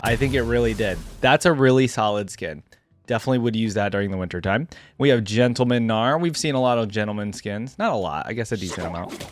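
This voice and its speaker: A man's voice: fairly low pitch, fast, music in the background. A man giving casual reaction commentary as a gamer, thinking aloud in an informal way. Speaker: gamer reacting to game character skins.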